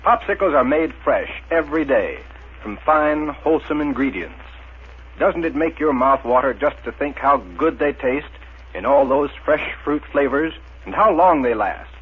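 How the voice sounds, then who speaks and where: A man announcing and pitching a sponsor's product in enthusiastic 1930s radio style. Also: lively intonation, moderate pace, breathy edge. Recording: tinny, muffled 1930s radio recording.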